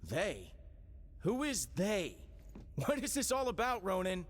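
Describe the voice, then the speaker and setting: A man's voice: high-pitched, slow pace, very noisy, exaggerated voice. A man playing a brash, glib young space outlaw hero in a video game cutscene. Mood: baffled. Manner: casual, glib, energetic. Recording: loud music under the voice. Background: music.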